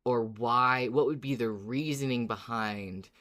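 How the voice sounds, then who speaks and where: A woman's voice: extremely low pitch, measured pace, a bit bright. A woman co-hosting a podcast, talking casually as a fan about a story. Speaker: podcast co-host. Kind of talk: casual fan discussion of an audio drama.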